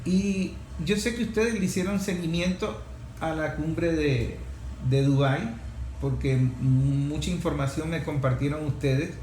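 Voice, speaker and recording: A man with a Venezuelan accent hosting a talk show, speaking off the cuff and haltingly as he repeats and expands his phrases. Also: fairly high pitch, fairly even intonation, dark tone. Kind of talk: unscripted opinion commentary and guest introduction. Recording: echoey room with faint background noise.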